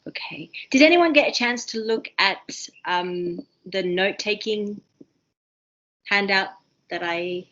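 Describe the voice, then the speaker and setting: Fast-talking Australian woman tutor, lo-fi. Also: mid-range voice, a little hiss or hum. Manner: fast, excitable, many ums and restarts. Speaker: university seminar tutor. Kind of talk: online seminar teaching. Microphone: video call.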